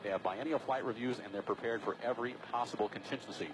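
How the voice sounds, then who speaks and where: An American man reports live as breaking news from a helicopter. He talks quickly in a mid-range voice, with a steady layer of aircraft noise under his commentary. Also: mid-range voice. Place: aboard a news helicopter in flight. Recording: steady aircraft noise, outdoor broadcast feed.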